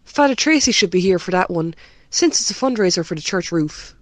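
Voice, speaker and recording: A woman's voice: lowish voice, lively intonation, a little fast, dry recording, lightly acted. A woman with an Irish accent playing a helpful, chatty parish committee member in an amateur radio drama.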